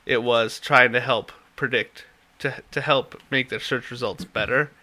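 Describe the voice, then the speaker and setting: A man's voice: medium pitch, fairly even intonation, measured pace, bright, forceful tone. A young man with a stuffy nose, co-hosting a casual tech chat and rambling as he loses his train of thought. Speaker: podcast co-host.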